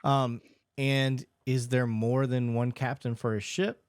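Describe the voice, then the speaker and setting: A man's voice: medium pitch, little pitch movement, slow, smooth, no echo, clean studio sound. Curious, blunt podcast host man. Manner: curious, blunt, swears casually. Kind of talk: casual interview chat.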